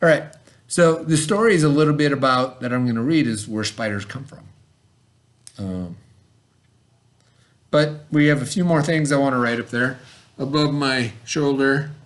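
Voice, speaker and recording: A man's voice: medium pitch, normal speed, long pauses. A man speaking as a schoolteacher in an informal lesson for a child, explaining things conversationally and checking for understanding. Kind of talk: informal read-aloud and vocabulary lesson for a child.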